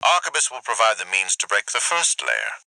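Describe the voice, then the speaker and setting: An arrogant corporate commander who sneers and insults everyone beneath him. His lowish voice is hard-edged and tense, leaping wildly in pitch as his contempt swells. The sound is dry and close, as if over a clean comm line. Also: huge pitch swings, normal speed, creaky, sharp tone, no room sound, exaggerated voice, poor audio. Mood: briefing. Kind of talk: video game voice acting.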